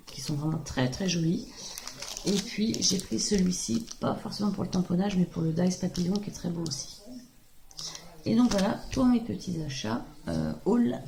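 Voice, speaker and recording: A woman hoarse from a cold talks in a loose, rambling way, full of hesitations. Her voice sits fairly low and has a gravelly rasp. The home recording has a clear layer of background noise. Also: warm tone. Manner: chatty, rambling, hesitant with many fillers. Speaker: craft video host. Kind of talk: casual show-and-tell haul.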